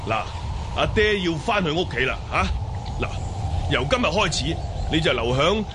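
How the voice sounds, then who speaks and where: A man plays a paternal, assured Mongol chief in a Cantonese radio drama. His voice is high and animated in pitch, with clear noise in the background. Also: moderate pace, close to an everyday voice. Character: genial, decisive Mongol chieftain father. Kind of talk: Cantonese audio drama acting.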